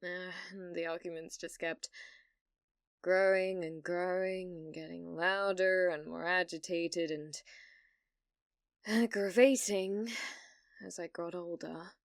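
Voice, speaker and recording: A British woman playing a needy, delusional young gamer in an ASMR roleplay, hesitant and stammering, blithely casual about dark things. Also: fairly low pitch, fairly even intonation, slow pace, long pauses, no room sound, studio quality. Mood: wistful, darkening.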